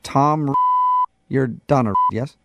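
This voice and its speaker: A man's voice: mid-range voice, slow pace, gravelly. A man playing a chatty county court clerk on a prank phone call, casual, evasive and amused as he breaks awkward news.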